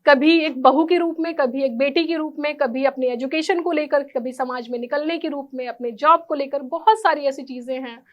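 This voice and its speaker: A woman speaks as an interview guest in a clearly high-pitched voice. She answers formally and earnestly, slipping English words into her sentences. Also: normal speed, hardly any pauses, highs cut off. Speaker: interview guest, architect and NGO volunteer.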